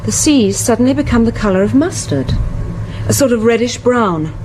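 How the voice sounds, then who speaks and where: A woman's voice: on the high side, expressive, normal speed, darkish tone, a little hiss or hum, lightly acted, rough recording. An actress playing a thoughtful woman scientist in a radio thriller, reading. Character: British woman marine biologist reading a diary aloud.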